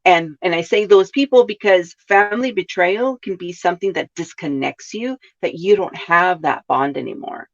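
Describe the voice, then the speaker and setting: A woman's voice: fairly low pitch, moderate pace, few pauses. A woman from California hosting a reflective self-help podcast, often asking her listeners questions and adding "right?". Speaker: podcast host. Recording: dull lo-fi sound.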